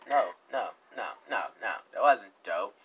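Animated young man, bright voice, reacting casually. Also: on the low side, a little slow, a little hiss or hum. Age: young adult. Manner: slangy, repetitive, exclamatory, joking. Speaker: reaction video host. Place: indoor room with green screen.

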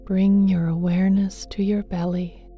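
A woman leading a guided meditation in a gentle, hushed voice that often turns breathy and near a whisper, speaking tenderly to the listener. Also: medium pitch, little pitch movement, unhurried. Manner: tender, affectionate address to listener. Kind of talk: guided meditation, slow and soothing. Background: soft meditation music with singing bowls.

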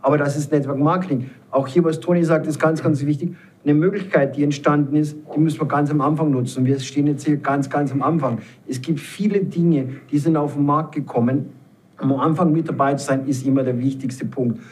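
A man in his fifties giving an enthusiastic, emphatic network marketing pitch, repeating words for stress. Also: steady tone, fairly quick, darkish tone, husky. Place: indoor event room, panel seated around a table.

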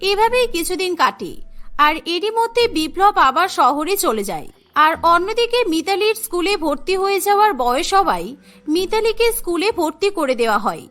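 A woman's voice: very high-pitched, sing-song, a little fast, a bit bright, faint music behind. A woman narrating a scripted cartoon story.

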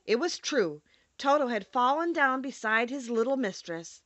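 A woman narrates a storybook in a high, very animated voice. Her reading is expressive and scripted, recorded dry and close. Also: moderate pace, no echo. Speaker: audiobook narrator.

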